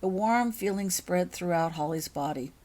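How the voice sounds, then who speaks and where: A woman with a fairly low voice narrates a story. She is an author reading scripted prose in audiobook style. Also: normal speed, warm tone.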